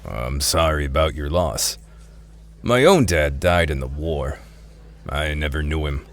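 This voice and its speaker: An actor playing a streetwise reformed thief in a radio drama, with a casual, wry way of talking. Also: male voice, very deep voice, animated, measured pace, exaggerated voice. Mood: flirtatious, wry. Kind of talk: radio drama acting and first-person narration.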